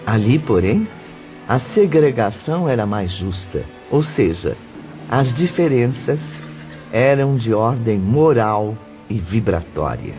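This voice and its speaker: A man acting as the measured, formal narrator of a spiritist audio drama. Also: medium pitch, sing-song, dark tone. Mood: solemn. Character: solemn male narrator of a spiritist audio novel. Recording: dull lo-fi audio with music behind. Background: clear background music.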